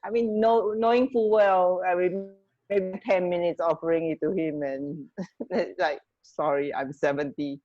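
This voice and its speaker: A woman's voice: fairly low pitch, fairly even intonation, a little slow, dark tone, highs cut off. A woman from Singapore co-hosting a radio show, swapping trivia in a chatty, hesitant, giggly way. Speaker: radio co-host. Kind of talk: casual banter, sharing trivia.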